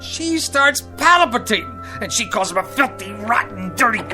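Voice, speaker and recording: An actor playing an eager, pushy, luckless would-be writer, with an American accent and slangy, wheedling patter, in a comic drama. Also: male voice, high voice, sing-song, moderate pace, pushed and bright, faint music behind, exaggerated voice. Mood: eager pitching. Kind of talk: comic television drama acting.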